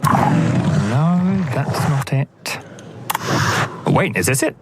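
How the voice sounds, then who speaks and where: A man narrating a video in a playful scripted way, musing slowly with exaggerated swings in tone. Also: medium pitch, big pitch swings, heavy background noise. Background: loud music.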